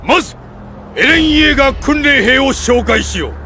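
A man playing a stern, composed old army commander in an anime game, delivering deliberate, commanding oratory. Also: very high-pitched, lively intonation, pushed and bright, slight background noise, exaggerated voice. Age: older. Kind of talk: dramatic anime game acting. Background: music.

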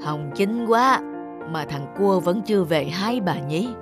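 A doting old grandfather from a cartoon. He speaks gently and without hurry, full of affection. Music plays under his dialogue. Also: mid-range voice, animated, measured pace. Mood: wistful, stubborn. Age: older. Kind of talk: animated film dialogue.

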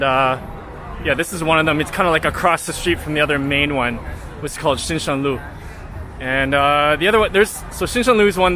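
A Canadian man in his thirties talks casually into a handheld camera as he strolls through a nightlife district. His voice sits a little high and stays relaxed and upbeat. Music plays clearly in the background. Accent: Canadian English. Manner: chatty, easygoing, upbeat narration with fillers. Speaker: vlogger. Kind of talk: casual walking vlog. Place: busy city streets and bars at night. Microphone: handheld camera at arm's length.

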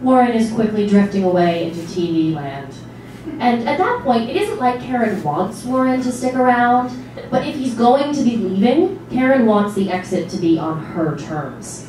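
A woman's voice: a little high, lively intonation, normal speed, dark tone. A woman giving a scripted dramatic narration as a stage reading. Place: small stage before a seated audience. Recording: distant mic, very echoey hall, noisy.

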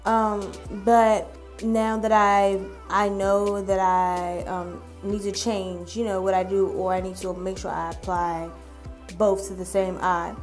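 A woman's voice: mid-range voice, little pitch movement, takes it slowly. Casual woman narrating a makeup tutorial, music behind. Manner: chatty, informal, addressing viewers.

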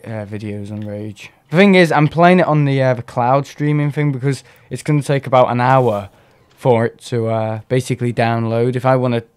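A hoarse man with a cough, rambling and clearing his throat as he gives casual commentary on a live gameplay stream. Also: medium pitch, darkish tone. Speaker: gaming streamer.

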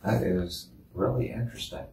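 Male tour host, easygoing and joking, low-ish voice, mic set back in a reverberant room. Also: vocal fry, heavy background noise. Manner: relaxed, folksy, quick with a joke. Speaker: chamber of commerce host. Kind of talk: casual chat on a tour. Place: indoor museum room. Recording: roomy, distant mic.

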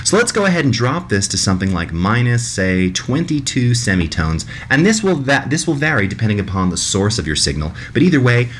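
A man in his thirties narrating a software tutorial in a conversational, demonstrative way, recorded indoors in a small room. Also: fairly low pitch, a little hiss or hum. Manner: animated, demonstrative, mimics sounds vocally.

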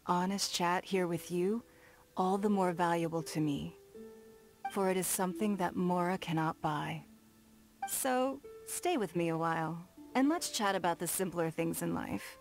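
An actress playing a stately, shrewd noblewoman in a video game, formal, measured and self-assured, with prominent S sounds. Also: fairly low pitch, darkish tone, lightly acted. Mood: amused, confiding. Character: poised, stately noblewoman and shrewd business magnate. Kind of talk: video game character dialogue. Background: background music.

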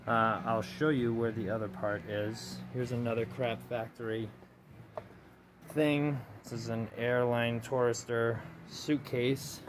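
A man's voice: medium pitch, noisy background. A man giving a loose, profane, rambling tour of his cluttered basement workshop, filming with a handheld phone. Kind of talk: casual rambling walkthrough. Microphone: handheld phone camera, speaker off-screen.

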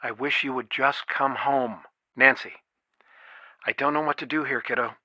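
A man's voice: medium pitch, steady tone, normal speed, bright, forceful tone, no room sound. An actor playing a worried, protective fatherly man over a speakerphone in a video game. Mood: worried, tender. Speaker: supporting character in a detective adventure game. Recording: dull band-limited speakerphone sound.

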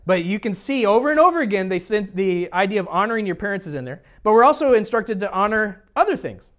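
High-voiced, animated American male pastor. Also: quick pace. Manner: informal, jokey asides, repeats phrases for emphasis. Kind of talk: sermon, teaching a congregation conversationally.

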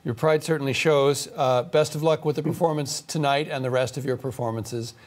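A middle-aged man anchoring a news programme from a television studio, interviewing a guest in a measured way. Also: mid-range voice, fairly even intonation.

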